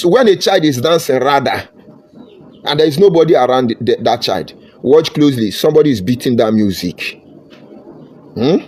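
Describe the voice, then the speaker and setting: A man's voice: fairly high pitch, a little mellow. Fervent Nigerian man ranting. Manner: fervent and combative, slipping into Pidgin phrases. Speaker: political commentator. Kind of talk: impassioned political monologue.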